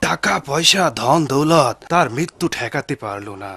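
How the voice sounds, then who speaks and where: A moralizing townsman from a cartoon, acted by a man. His husky, gravelly voice moves in lively swings. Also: on the high side, normal speed, no room sound, exaggerated voice. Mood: solemn. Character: moralizing townsman gossiping in folk tale. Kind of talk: animated cartoon dub.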